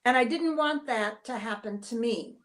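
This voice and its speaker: Woman coach on a video call, kinda high voice, calm and measured. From Cleveland, Ohio. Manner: poised, measured self-introduction. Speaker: life coach and author.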